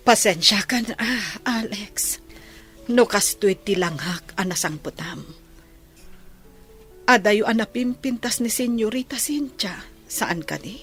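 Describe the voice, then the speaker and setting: A woman playing a poor, blind, long-suffering mother in a radio drama, speaking softly and with resignation. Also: mid-range voice, expressive, slow pace, pauses often, soft background music. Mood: resigned. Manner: soft, resigned, apologetic.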